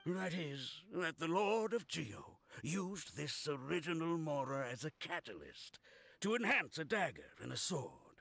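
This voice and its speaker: Boastful male scholar for a game: high-pitched, creaky, animated, pompous. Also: a little slow, exaggerated voice. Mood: pompous, excited. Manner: pompous, declamatory. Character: excitable, boastful male archaeologist, self-important and indignant. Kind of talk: English game voice acting.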